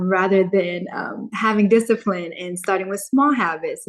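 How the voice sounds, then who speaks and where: A woman in her thirties from Chicago, a guest on a podcast, speaking calmly and reflectively with frequent ums. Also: fairly low pitch. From Chicago, USA. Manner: calm, reflective, with frequent um and soft laughs. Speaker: podcast guest, content creator and author. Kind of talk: casual interview chat.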